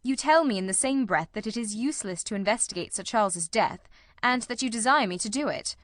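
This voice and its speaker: A woman's voice: fairly high pitch, lively intonation, fairly quick, dry recording, studio quality, natural voice. A woman voicing a keen, probing male detective in an audiobook, with a formal, incisive and inquisitive manner. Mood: wry, questioning. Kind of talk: audiobook character reading.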